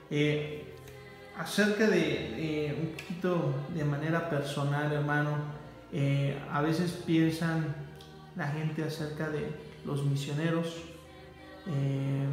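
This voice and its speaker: A man interviewing a guest for a church channel, asking slow, halting questions with fillers. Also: on the high side. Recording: echoey room, music behind.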